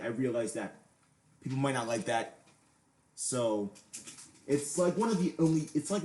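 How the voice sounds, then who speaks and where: A man's voice: mid-range voice, darkish tone. A man giving an unscripted, rambling explanation, hesitant and often stammering over repeated words, as an amateur creator talking to viewers. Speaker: amateur animation creator and video host. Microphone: computer microphone. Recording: roomy, echoey home PC recording.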